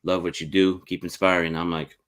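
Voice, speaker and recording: A man with a very low-pitched voice hosts a sports podcast. He chats informally with his guest in loose, everyday phrasing. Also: level tone, brisk, fairly bright tone, clear, resonant tone. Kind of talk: casual interview chat.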